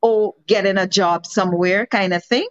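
A woman's voice: mid-range voice, normal speed, no room sound. A woman talking earnestly in a discussion, stressing her points with rhetorical questions. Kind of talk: casual discussion. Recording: dull lo-fi sound.

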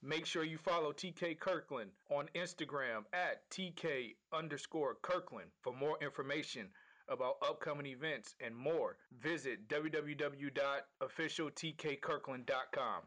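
A man's voice: fairly high pitch, normal speed, fairly bright tone. A man reading a scripted outro announcement for a podcast.

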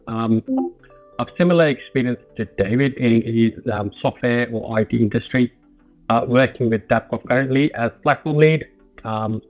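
A man speaking as a panel guest, relaxed and brief, with occasional filler sounds. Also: medium pitch. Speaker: guest, platform lead. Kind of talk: casual panel discussion.

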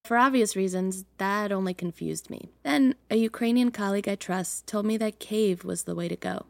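A woman's voice: medium pitch, normal speed, gravelly, darkish tone, no room sound. A woman raised in the United States, whose first language was Russian, hosting and narrating a podcast in a conversational, reflective way. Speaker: podcast host.

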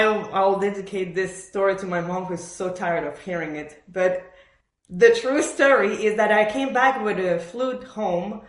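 Woman telling stories in an echoey room. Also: medium pitch. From born in Argentina, raised in Montreal, Canada. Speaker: podcast guest, professional orchestral bassoonist. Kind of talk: conversational interview storytelling.

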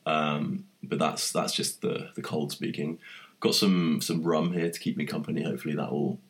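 A man hosting a casual podcast chat, sounding nasal from a cold and bantering in a relaxed, joking way. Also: extremely low pitch, normal speed. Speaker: podcast host.

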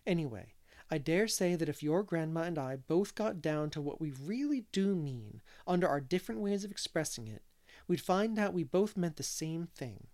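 A reader voicing an earnest, thoughtful young schoolteacher in an audiobook, speaking in a calm, reassuring way. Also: medium pitch, moderate pace, close to an everyday voice. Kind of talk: audiobook character reading.

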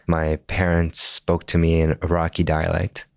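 A man's voice: low voice, completely flat delivery, measured pace, gravelly, smooth, no room sound, studio quality. A young man born in Jordan who grew up speaking Arabic, a Spanish teacher answering interview questions thoughtfully and restarting his words often.

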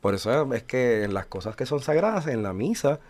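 A man's voice: mid-range voice, big pitch swings, moderate pace, darkish tone. A man in his forties co-hosting a religious radio chat, explaining thoughtfully with hesitant fillers. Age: 40s. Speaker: radio show co-host.

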